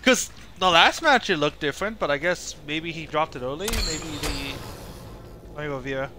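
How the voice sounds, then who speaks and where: A man's voice: on the high side, expressive, normal speed, bright, forceful tone. A man giving casual live commentary as he streams a game, muttering mildly frustrated asides to himself. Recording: clear game noise behind voice. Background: horror game sound effects and ambience.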